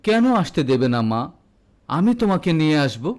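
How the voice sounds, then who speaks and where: A man's voice: fairly high pitch, sing-song, normal speed. Man voicing an earnest, anxious elderly father. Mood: tenderly reassuring. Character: anxious, pleading, tender elderly Bengali father.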